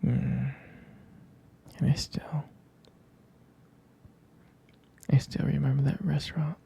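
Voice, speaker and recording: A man playing a jealous, protective and devoted boyfriend in an intimate ASMR roleplay, whispering slowly and hesitantly with a breathy, gravelly voice. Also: mid-range voice, flat delivery, mellow, exaggerated voice. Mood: tender, intimate. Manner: slow, hesitant, tender.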